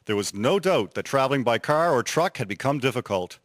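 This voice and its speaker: A man giving measured scripted narration for a radio drama. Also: mid-range voice, lively intonation, no echo, clean studio sound. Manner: measured storytelling.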